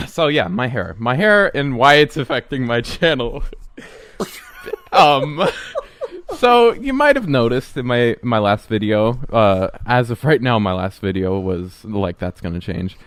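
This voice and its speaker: A man's voice: mid-range voice, sing-song, unhurried, a bit bright, dry recording. A young man co-hosting a podcast, rambling and laughing often in casual chat. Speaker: podcast co-host. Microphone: podcast mic.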